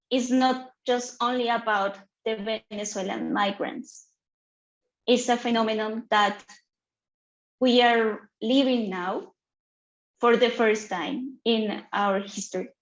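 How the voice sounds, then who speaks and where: A woman from Colombia with a Colombian Spanish accent, a native Spanish speaker, presenting earnestly on a panel in halting English with restarts over a video call. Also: fairly high pitch, reverberant, lo-fi sound. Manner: earnest, halting second-language phrasing with restarts.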